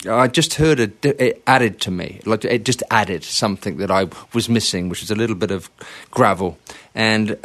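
Man, lowish pitch, relaxed reflective storytelling, casual panel chat. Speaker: songwriter.

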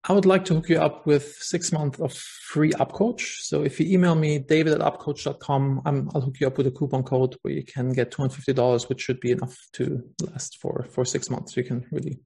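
A man's voice: mid-range voice, level tone, a little fast, gravelly, few pauses, mellow, slightly rough. A man with a German accent, a podcast guest talking earnestly about his work, with many fillers and restarts. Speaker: podcast guest, software founder. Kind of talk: casual interview talk.

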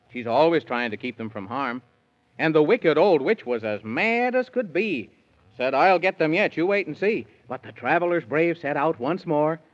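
A man narrating a 1930s children's radio serial in sing-song rhyming verse. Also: fairly high pitch. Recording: dull 1930s radio recording.

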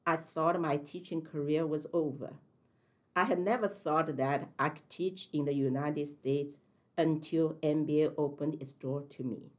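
A woman in her sixties, a math teacher from China with a Chinese accent and Chinese as her first language, giving careful, measured interview answers. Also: low voice. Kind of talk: interview answers, read from prepared text.

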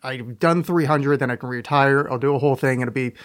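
A man's voice: medium pitch, a little fast. A man hosting a podcast in casual chat, joking and self-deprecating as he rambles. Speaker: podcast host.

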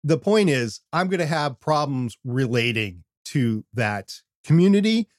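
An older North American man co-hosting a podcast in a casual, self-deprecating way, with animated rambling asides. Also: medium pitch, a little slow, no room sound, clean studio sound. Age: older, over 50. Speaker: podcast co-host. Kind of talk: casual discussion with examples.

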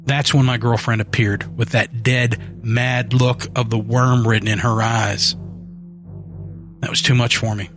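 Slow, grim male horror narrator. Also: mid-range voice, level tone, over music. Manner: grim first-person storytelling. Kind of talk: scripted horror story narration.

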